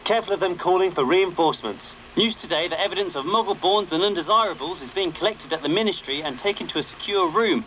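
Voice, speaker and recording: An actor playing a wry young rebel wizard radio announcer in a video game, with punchy, sarcastic delivery. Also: male voice, high-pitched, fast talker, hardly any pauses, bright, forceful tone, slight background noise, poor audio.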